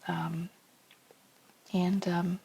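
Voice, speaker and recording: A woman's voice: on the low side, little pitch movement, extremely slow, creaky, mellow, husky, low-quality recording. A woman from New Zealand with a New Zealand accent, chatting casually and enthusiastically to camera as a tarot channel host, with lots of ums and asides.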